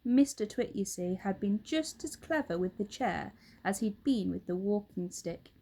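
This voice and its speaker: A woman narrating a children's story aloud in an expressive storytelling style. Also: medium pitch, moderate pace. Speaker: storybook reader.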